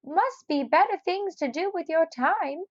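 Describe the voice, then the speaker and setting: A woman's voice: very high voice, expressive, unhurried, dry recording, muffled recording, pitched above the performer's own voice. A woman voicing an old male animal neighbour in a storybook, a doubtful busybody with a demanding, nagging manner. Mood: nagging alarm.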